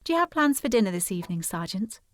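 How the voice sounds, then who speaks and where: A woman's voice: medium pitch, very animated, fast talker, close to an everyday voice. An actress playing a resolute, well-bred young woman in a period audio drama, with a formal, poised and earnest manner. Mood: inviting.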